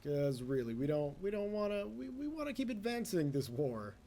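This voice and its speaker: Lively, rambling young man with a mellow voice. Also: sits high, moderate pace, slight background noise. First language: English. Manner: rambling, self-mocking, talks to the game. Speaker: let's play host. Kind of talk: casual gameplay commentary.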